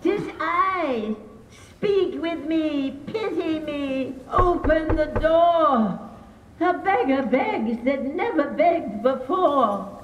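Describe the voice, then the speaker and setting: An actress playing a shrill, frantic noble aunt begging to be let in, in a Shakespearean radio drama. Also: female voice, expressive, very slow, reverberant, muffled recording, slight background noise, heavily acted. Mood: frantic, pleading.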